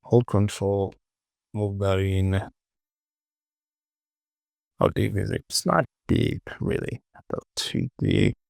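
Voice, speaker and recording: Male tutorial presenter, unhurried and slow, dry close sound, thinking aloud in short clipped phrases. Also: on the low side, steady tone, creaky, a little mellow, no echo. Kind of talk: casual screen-recorded software tutorial, thinking aloud.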